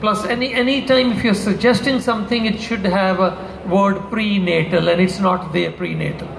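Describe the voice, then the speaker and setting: An older man with a high, gravelly voice rambles through a casual panel answer, restarting and tossing in asides. He speaks into a handheld mic in a big convention hall, the sound bouncing off the room with faint background hum. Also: steady tone, moderate pace, a bit bright. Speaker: product formulator on a panel. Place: large convention hall stage.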